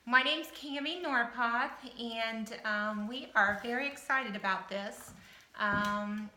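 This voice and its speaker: American lady around fifty, bright voice, casual chatty, roomy echo. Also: fairly high pitch, slow. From Southern Ohio, United States. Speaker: house-hunting show applicant. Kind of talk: casual interview-style chat to camera. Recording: echoey room.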